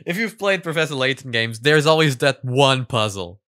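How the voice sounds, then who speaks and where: A man in his twenties giving casual, animated reaction commentary with halting starts, close on a studio mic. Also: mid-range voice, no room sound. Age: 20s. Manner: halting, animated, laughs easily. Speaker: host of a reaction video series. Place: small room at home, indoors. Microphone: close boom-arm studio mic.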